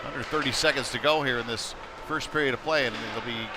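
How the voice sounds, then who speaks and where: A man's voice: mid-range voice, brisk. A man giving live ice hockey play-by-play in a conversational, fragmented way, following the action phrase by phrase. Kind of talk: live sports commentary. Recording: noisy live rink broadcast.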